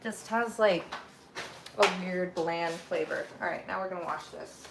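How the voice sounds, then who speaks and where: A woman with a gravelly, raspy voice talks through her cooking in a home kitchen, a little under the weather. She takes her time, rambling casually from one step to the next. The recording carries clear background noise from the room. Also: fairly low pitch, unhurried. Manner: chatty, rambling, narrates each step while cooking. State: a little sick. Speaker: cooking livestream host. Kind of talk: casual cooking livestream chat.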